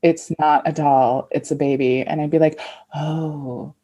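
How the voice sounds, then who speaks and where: A woman's voice: sits low, steady tone, unhurried, dark tone. A woman in her forties co-hosting a podcast, chatting casually and sharing personal anecdotes. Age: 40s. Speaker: podcast co-host. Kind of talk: casual chat.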